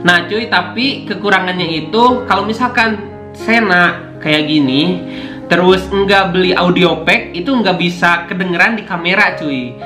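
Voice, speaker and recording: A man's voice: sits high, unhurried. A young man casually chatting to camera about his motorbike vlogging gear, full of slangy fillers. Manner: slangy, keeps saying "cuy", many fillers. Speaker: motovlogger YouTuber. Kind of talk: casual unboxing chat to camera. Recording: echoey room with guitar music.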